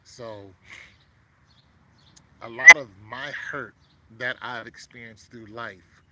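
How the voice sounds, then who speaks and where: A man's voice: medium pitch, slow, sharp tone, slight background noise. A man in his fifties talking casually to a viewer, rambling with many fillers and restarts. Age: 50s. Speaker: vlogger.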